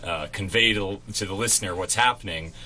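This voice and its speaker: A man with a deep voice and a very bright, forward edge gives a casual radio interview. He explains things in a chatty way, as an actor in radio plays would. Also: brisk, slight background noise, low-quality recording. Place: college radio station.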